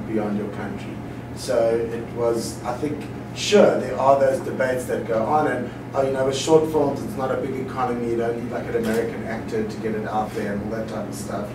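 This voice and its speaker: Male producer, calm panel reply, roomy and distant. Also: medium pitch. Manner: measured, conversational, many fillers. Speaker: film producer. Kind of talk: unscripted panel answer. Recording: echoey room, distant mic, noise.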